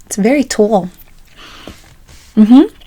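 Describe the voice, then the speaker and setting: Soft-spoken woman in chatty ASMR barber roleplay. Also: a little high, lively intonation, extremely slow, poor audio. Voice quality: soft-spoken, often dropping into whisper. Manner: folksy, chatty, calls listener pet names. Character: chatty, tired late-night female barber, sweet and sassy.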